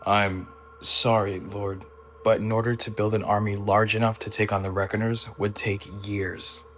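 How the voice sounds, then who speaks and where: A man's voice: lowish voice, flat delivery, normal speed, a bit bright, soft background music, natural voice. An actor playing an apologetic, formal male henchman to a villain lord in a radio drama. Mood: anxious deference.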